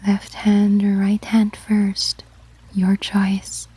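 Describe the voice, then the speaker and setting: A woman leads a sleep meditation in a very breathy, whispered voice. Her delivery is slow and even, with little rise or fall in pitch. She gives each gentle instruction in a measured way, in a soft ASMR style. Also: no room sound. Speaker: guided meditation narrator.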